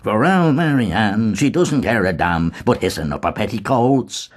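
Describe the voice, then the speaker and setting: A man's voice: medium pitch, huge pitch swings, moderate pace, voice pushed up. A man voicing a brash, mocking young male medical student with a theatrical, mock-solemn manner. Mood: boisterous.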